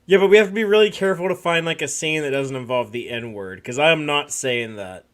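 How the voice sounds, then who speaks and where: A man's voice: fairly high pitch, fairly quick, dry recording, clean studio sound. A man chatting casually and jokingly on a live stream.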